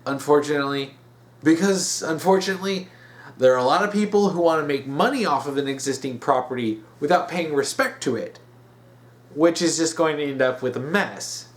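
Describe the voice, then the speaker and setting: A man's voice: a little high, expressive, fairly quick. A man giving casual opinion commentary in an exasperated, emphatic way, drawing out the words he stresses. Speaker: video commentator.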